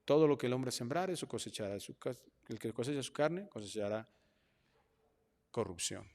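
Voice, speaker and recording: A middle-aged man with a Mexican accent preaching a sermon as pastor, animated, moving from quiet asides to loud mocking voices. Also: mid-range voice, moderate pace. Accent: Mexican Spanish. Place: church hall. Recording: long hall reverb.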